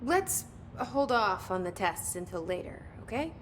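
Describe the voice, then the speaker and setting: A woman's voice: mid-range voice, big pitch swings, a little slow, fairly bright tone, a little hiss or hum. A woman playing a guarded, determined young American director in a video game, speaking directly and tersely. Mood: curious, guarded. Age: young adult. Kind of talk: video game dialogue acting.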